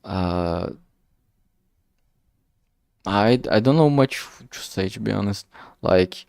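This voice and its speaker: A man talking casually and reflectively on a solo stream, halting often as he searches for words. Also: fairly low pitch, lively intonation, gravelly, a little mellow, clean tone, no echo, clean studio sound. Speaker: indie game developer streaming.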